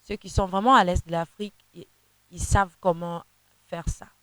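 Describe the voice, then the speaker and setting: A young woman, a gospel singer whose first language is Kinande, answering interview questions in a halting way, often repeating words as she searches for them. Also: lowish voice, fairly even intonation, dry recording. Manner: sings softly in places.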